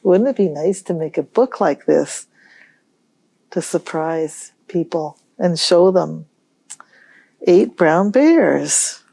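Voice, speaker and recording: A woman hosting a storytime for young children, reading aloud in a gentle, musing way and pausing to pose questions. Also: on the low side, expressive, gravelly, dry recording. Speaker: children's library storytime host.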